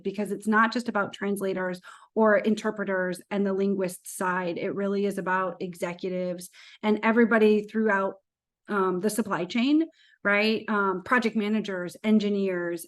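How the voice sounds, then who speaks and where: A woman with an American accent moderating an online panel in an informal, conversational way. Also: mid-range voice. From United States, Indiana. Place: virtual event video call.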